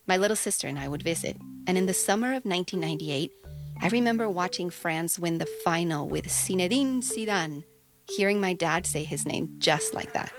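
A woman in her forties from Mexico, telling a personal story as a radio host in an intimate, reflective way. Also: lowish voice. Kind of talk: scripted personal narration. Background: faint music.

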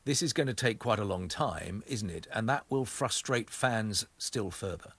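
A man's voice: fairly low pitch, expressive, moderate pace, fairly bright tone, husky, no room sound. A man presenting a live radio interview from a studio.

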